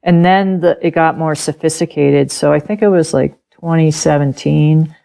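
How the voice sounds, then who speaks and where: A middle-aged woman whose first language is German speaks in a low voice with a gravelly edge. She is an interview guest telling her personal story candidly and animatedly, acting out old conversations. Her voice stays deep and textured throughout. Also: moderate pace. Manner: candid, animated, acts out past conversations and laughs often. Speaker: author and podcast guest.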